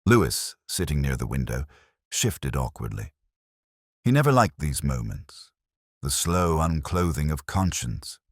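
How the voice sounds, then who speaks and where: A man narrating a scripted first-person detective story in a measured, reflective, literary manner. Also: a bass voice, very animated, breathy edge, no echo, clean studio sound. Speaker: audio drama narrator.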